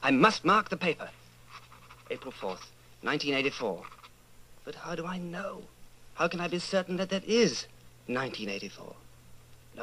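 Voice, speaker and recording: An actor playing a brooding, fearful middle-aged secret rebel, speaking an intense, halting inner monologue in a radio drama. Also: male voice, medium pitch, sharp tone, poor audio. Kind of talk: old-time radio dramatization, interior monologue.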